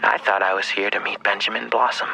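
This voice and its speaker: An actor playing a menacing young male killer in an audio drama, quietly taunting and threatening. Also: fairly low pitch, little pitch movement, quick pace, creaky, exaggerated voice, low-quality recording. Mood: cold menace.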